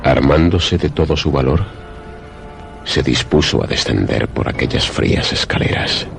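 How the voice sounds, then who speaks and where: A man narrating a scripted suspense radio play in a measured, literary storytelling manner. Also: extremely low pitch, gravelly. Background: music under the voice.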